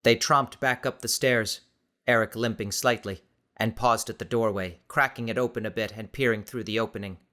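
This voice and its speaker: A man reading dramatic scripted audiobook narration in a measured, suspenseful manner. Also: lowish voice, steady tone, pushed and bright.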